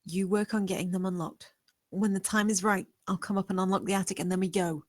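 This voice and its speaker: A woman performs a determined young maid in a dramatic table read. She has a medium pitch and a defiant streak. The sound is close, with no room echo. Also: steady tone, brisk, darkish tone, natural voice. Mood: urgent, reassuring.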